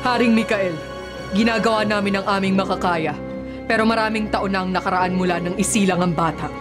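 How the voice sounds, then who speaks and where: A woman acts a monster king's dutiful servant in radio horror, mid-pitched. She is formal and submissive, with dramatic music strong underneath. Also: fairly even intonation, a little fast, close to an everyday voice. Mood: anxious deference.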